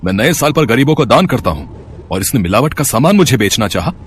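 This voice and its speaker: A man's voice: medium pitch, big pitch swings, fairly quick, lightly acted. A man playing a wealthy, charitable merchant with a businesslike manner in a moral story. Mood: indignant. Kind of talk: moral-story cartoon acting. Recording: faint background noise.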